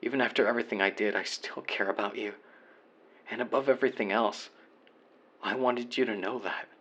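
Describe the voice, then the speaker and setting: A man's voice: on the low side, steady tone, brisk, a bit bright, muffled recording. A man playing a remorseful young man leaving an apologetic voicemail to his former best friend, earnest and confessional in an audio roleplay monologue. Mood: pleading, apologetic.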